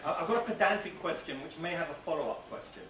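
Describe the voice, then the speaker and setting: A man with a booming voice asking a question at an academic symposium, politely self-deprecating and a little halting. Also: fairly bright tone. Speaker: audience member asking a question. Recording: echoey, noisy hall from far off.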